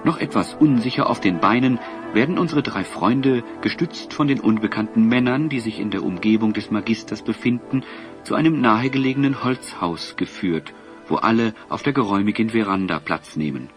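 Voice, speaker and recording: A man narrating a scripted children's audio drama in a measured, expressive storytelling manner. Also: lowish voice, over music.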